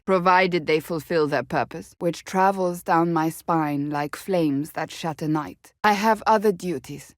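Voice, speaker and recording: A woman's voice: lowish voice, no room sound, clean studio sound, close to an everyday voice. An actress playing a stern, devout, blunt warrior woman in a video game, speaking formally and earnestly in clipped phrases. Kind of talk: video game character dialogue.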